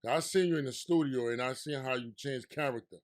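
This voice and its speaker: A man with a New York accent hosting a casual interview, hyped up one moment and low and confiding the next. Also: brisk. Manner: animated, hype, swings between loud excitement and low confiding tone. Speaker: podcast host and DJ.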